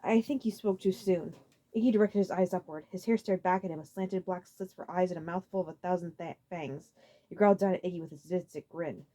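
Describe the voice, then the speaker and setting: A woman reading a story aloud as a dramatic narrator, expressive, occasionally stumbling over a phrase. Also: fairly low pitch, quick pace. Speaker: audiobook narrator.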